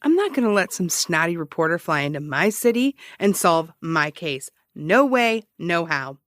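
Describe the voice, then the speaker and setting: A woman's voice: on the low side, sing-song, normal speed, fairly bright tone, no room sound. Cocky, needling woman investigator, dry close sound. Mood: defiant, confiding. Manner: sarcastic, teasing, rambling, defensive. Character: cocky, needling amateur investigator and radio broadcaster, adult woman. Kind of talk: naturalistic audio fiction comedy-drama acting.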